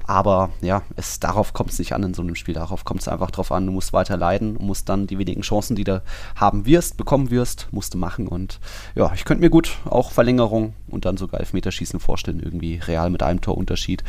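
A man's voice: sits low, fast, darkish tone. A man co-hosting a sports podcast in casual, conversational talk. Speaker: football podcast host.

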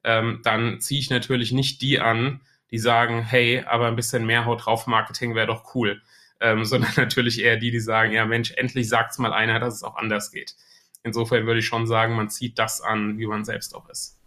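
A man's voice: mid-range voice, level tone, normal speed, pushed and bright. A man with a Hessian accent, a podcast guest talking about marketing in a thoughtful way with many filler sounds. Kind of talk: casual podcast interview.

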